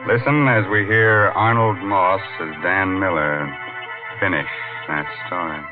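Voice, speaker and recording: Ghoulish horror host, mock-sweet and sardonic, low voice, narrow vintage radio sound over music. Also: male voice, fairly even intonation, measured pace. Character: ghoulish, gleefully macabre male horror show host. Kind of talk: 1940s radio horror hosting, comic banter. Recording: band-limited vintage radio, music behind.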